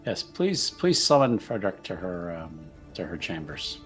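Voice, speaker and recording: A man voicing a gentle, protective guardian in tabletop roleplay, speaking in a measured, hesitant and soft-spoken way. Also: sits low, sing-song, faint music behind. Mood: gentle, grave. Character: gentle, protective male guardian, calm and concerned.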